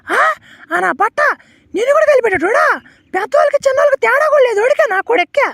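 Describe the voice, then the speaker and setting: Woman playing a squeaky, extremely high feisty village woman. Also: expressive, fast talker, dry recording, clean studio sound. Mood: aggrieved, grumbling. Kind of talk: amateur comedy cartoon voice acting.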